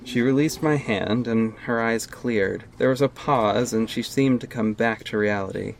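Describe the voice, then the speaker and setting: A man's voice: medium pitch, unhurried, creaky, slight background noise, rough recording. A man narrating an audio drama in the first person, with a wry, casual storytelling manner.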